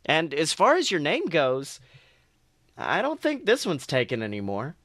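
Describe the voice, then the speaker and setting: A man's voice: a little high, wildly swooping pitch, unhurried, fairly bright tone, no room sound, exaggerated voice. A man voicing a wry female quokka superhero in a fan fiction reading. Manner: smirking, dry.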